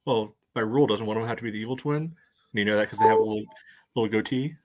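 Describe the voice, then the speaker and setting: A man's voice: lowish voice, racing, a crowd in the background. A man in his thirties chatting casually as a panelist on a video call, with a wry, joking manner. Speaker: podcast panelist. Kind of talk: casual chat. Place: room at home, on a video call.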